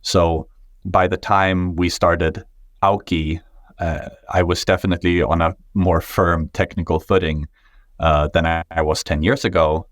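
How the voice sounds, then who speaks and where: Swedish-born male tech founder, very low voice, measured and thoughtful, close dry mic. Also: fairly even intonation, no echo. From Sweden. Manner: thoughtful, with frequent uh fillers and restarts. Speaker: guest, tech startup founder. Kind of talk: podcast interview answers.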